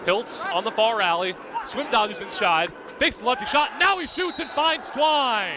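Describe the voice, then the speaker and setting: High-pitched young man calling sports, noisy background. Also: moderate pace. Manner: vivid, clipped present-tense calls, excited on shots. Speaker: play-by-play announcer. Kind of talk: live radio sports commentary. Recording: outdoor broadcast with clear background noise.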